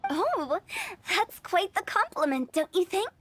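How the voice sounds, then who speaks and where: A woman's voice: very high voice, very animated, unhurried, a bit bright, full character voice. An actress playing a playful, eccentric young female funeral parlour director in a game, chatty, teasing and theatrical. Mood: delighted, flattering. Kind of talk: animated game voice acting. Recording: clear music bed behind voice.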